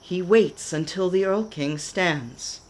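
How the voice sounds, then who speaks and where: A woman giving dramatic, deliberate scripted narration for a radio play. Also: lowish voice, darkish tone, a little hiss or hum, rough recording.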